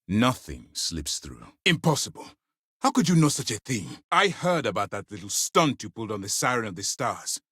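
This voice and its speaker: Commanding, deep-voiced space navy commander. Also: male voice, sing-song, normal speed, bright, forceful tone, husky, no echo, studio quality, full character voice. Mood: stern, formal, then angry, incredulous. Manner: formal, authoritative, clipped orders. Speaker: video game voice actor. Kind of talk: scripted game dialogue, dramatic military acting.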